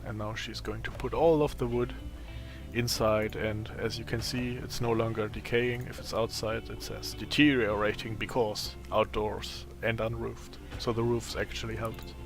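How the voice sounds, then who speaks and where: A man with a raspy, tired voice giving casual gameplay commentary, thinking aloud as he plays. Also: on the low side, flat delivery, music in the background. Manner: slow, thinking aloud while playing. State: voice strained from a long day of talking.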